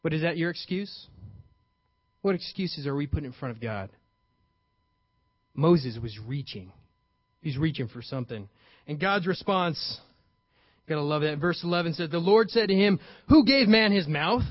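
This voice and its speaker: Animated high-voiced male preacher. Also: normal speed, long pauses, no echo. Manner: animated, acts out paraphrased dialogue with playful emphasis. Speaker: pastor. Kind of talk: sermon. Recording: dull, slightly lo-fi, dry sound.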